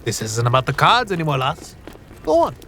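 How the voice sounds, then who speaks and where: Male actor as sly fantasy rogue, raised gravelly voice, teasing, slangy, cheeky card cheat, audio drama, faint noise behind. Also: fairly high pitch, huge pitch swings, fairly quick, pushed and bright, exaggerated voice. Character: cheeky, scheming card cheat, roguish young companion.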